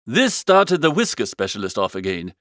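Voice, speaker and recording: A man's voice: lowish voice, sing-song, normal speed, a bit bright, dry recording, studio quality. A man narrating a comic first-person story in a jaunty, slangy storytelling manner. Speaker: audiobook narrator.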